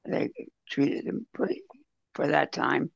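A man with a gravelly, husky voice talking haltingly in a casual group discussion. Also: on the high side, animated, no room sound. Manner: halting, repeats words. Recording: dull, lo-fi audio.

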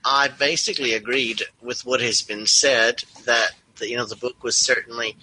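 A man taking part in a book club discussion, speaking in a measured, reflective way with occasional asides. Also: medium pitch, fairly even intonation, sharp tone, a little hiss or hum, poor audio. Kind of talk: casual group discussion.

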